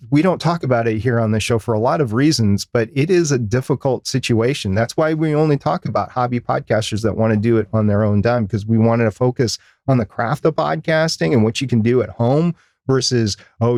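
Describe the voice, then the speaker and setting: A man's voice: mid-range voice, a little mellow, no room sound, clean studio sound. A man with an American accent talking through points casually as a podcast co-host. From United States.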